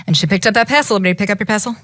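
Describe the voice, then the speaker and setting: A woman's voice: medium pitch, racing, fairly bright tone, no room sound, clean studio sound. A woman telling a fairytale live, in a dramatic, emphatic way, drawing her listeners in as she goes. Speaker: storyteller.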